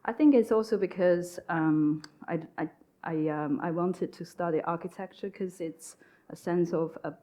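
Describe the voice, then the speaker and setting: Woman, from China, low pitch, mellow tone, interior designer, thoughtful, panel talk. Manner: thoughtful, halting. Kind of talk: panel discussion. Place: stage at a design event.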